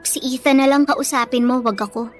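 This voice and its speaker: A woman's voice: sits high, fairly even intonation, a little fast, faint music behind, lightly acted. A woman playing a meek but steadfast bullied teenage schoolgirl in a radio drama, pleading and earnest, with a Filipino accent. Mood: apologetic, uneasy.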